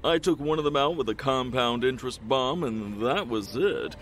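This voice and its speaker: A man's voice: medium pitch, moderate pace, fairly bright tone, a little hiss or hum, natural voice. A man playing a vain, rich, pompous superhero in an audio comedy, speaking theatrically. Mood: smug bragging.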